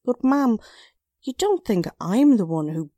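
A woman's voice: on the high side, very animated, a little slow, warm tone, no room sound, studio quality. A woman playing a defensive, easily riled working-class witness with folksy, ungrammatical talk in a 1940s-style radio drama.

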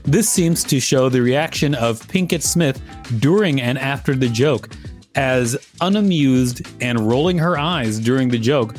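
A man's voice: mid-range voice, expressive, a little slow. A man hosting a show, reading an encyclopedia-style article aloud. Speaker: podcast host and reader. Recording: music behind the voice. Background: background music.

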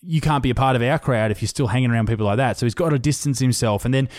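An Australian man talking on a podcast in a middle pitch, blunt and profane, his thoughts running on. The sound is dry and close with no room around it. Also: rapid-fire, darkish tone, dry recording. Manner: blunt, swears freely, loose run-on talk. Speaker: podcast host. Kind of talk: casual chat.

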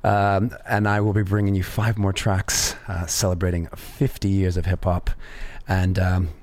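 A man with a raspy voice hosting a live radio music show, talking in an easygoing way with plenty of fillers. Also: deep voice, monotone, normal speed, darkish tone, no room sound. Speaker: radio DJ host. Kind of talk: casual live radio presenting.

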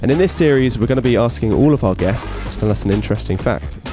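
A man hosting a tech podcast, with quick, chatty and playful questions. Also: medium pitch, lively intonation, music in the background. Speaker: podcast host.

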